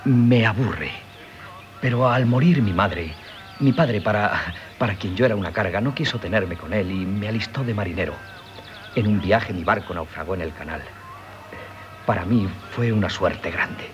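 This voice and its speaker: Man playing dreamy young artist, low voice, Castilian accent, polite, hesitant, radio theatre with music. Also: normal speed, lo-fi sound, natural voice. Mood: earnest, chatty. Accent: Castilian Spanish. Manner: polite, hesitant, deferential storyteller. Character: earnest, dreamy, sickly young man, would-be sculptor. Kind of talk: 1970s Spanish radio theatre acting. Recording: 1970s radio drama with music.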